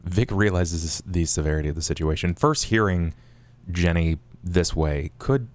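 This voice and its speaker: A man's voice: low-pitched, normal speed. A man playing a tabletop roleplaying game, talking casually at the game table.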